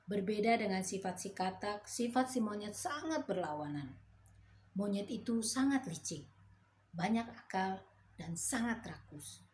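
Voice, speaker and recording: A woman storyteller reading a fairy tale to children in a gentle, motherly way. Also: medium pitch, expressive, measured pace, a little hiss or hum.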